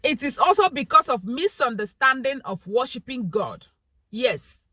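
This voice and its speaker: A woman's voice: high voice, bright, forceful tone, dry recording, poor audio. A young woman with a Nigerian Igbo accent talking straight to camera in an earnest, pleading vlog monologue. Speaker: vlogger.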